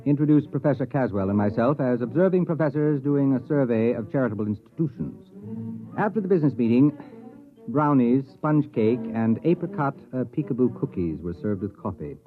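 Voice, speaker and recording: A man's voice: medium pitch, animated, brisk, close to an everyday voice. An actor playing a wry, sardonic male academic who narrates his own experiment in a vintage radio drama. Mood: wry recounting. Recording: tinny old radio recording, faint music.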